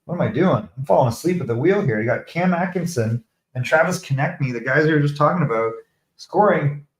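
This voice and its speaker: A man's voice: a little high, expressive, brisk, roomy sound, poor audio. Canadian man chatting on a livestream. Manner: chatty, defensive, talks to viewers by name. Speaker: live stream host. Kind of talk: casual live commentary, replying to chat.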